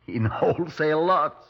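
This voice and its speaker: A man's voice: a little high, expressive, slow pace. An actor playing a dry, skeptical Scotland Yard superintendent in 1950s radio drama style, wry and understated as he questions and narrates. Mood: skeptical, needling. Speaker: detective and narrator. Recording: dull old radio recording.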